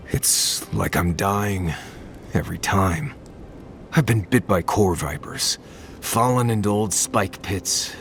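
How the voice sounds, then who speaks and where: An actor playing a troubled, vulnerable adventurer, halting and hesitant, in a video game. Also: male voice, sits low, a bit bright, slight background noise, heavily acted. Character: anxious, troubled male adventurer, reluctant, vulnerable. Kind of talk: naturalistic video game acting.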